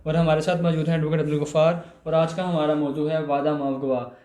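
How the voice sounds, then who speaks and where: A man's voice: on the high side, little pitch movement, quick pace, warm tone. A Pakistani man hosting a legal show, presenting introductions and putting questions to a guest. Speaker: host, lawyer. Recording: echoey, roomy sound.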